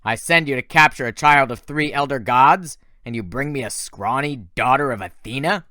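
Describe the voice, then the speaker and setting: A man reading aloud and voicing a deep, booming, commanding male general. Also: expressive, normal speed, sharp tone, smooth, no room sound, studio quality. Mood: angry. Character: menacing male general, commanding, stone-faced.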